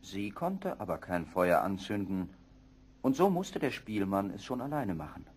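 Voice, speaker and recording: Husky male fairy-tale narrator. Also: fairly low pitch, very animated, a little slow, a little mellow. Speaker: storyteller.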